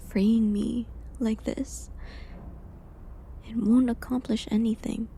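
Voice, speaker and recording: A woman playing a gentle, resigned prisoner accused of witchcraft in an intimate medieval audio drama, with a breathy, half-whispered, hesitant and pleading delivery. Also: a little high, little pitch movement, a little hiss or hum. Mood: fearful, pleading. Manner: slow, hesitant, pleading, slightly formal old-fashioned wording.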